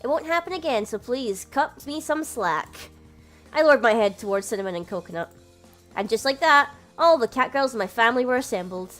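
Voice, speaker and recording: A woman's voice: on the high side, animated, fairly quick, a bit bright, faint music behind. A woman reading a visual novel aloud, voicing a sheepish young man who narrates and argues with his family. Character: young adult man, a flustered older brother who narrates.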